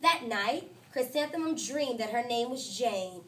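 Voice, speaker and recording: A woman teacher reads a picture book aloud in her classroom, with a somewhat high voice. She makes the story lively and expressive, lifting the characters' lines. She sounds a little distant from the microphone. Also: measured pace, slight background noise. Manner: expressive storytelling, voices quotes higher and louder.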